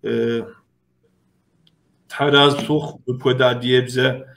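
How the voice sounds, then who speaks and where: Guy hosting an online chat, really slow and halting, mid-pitched voice. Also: level tone, creaky, fairly bright tone. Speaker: host. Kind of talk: casual bilingual hosting of a video interview.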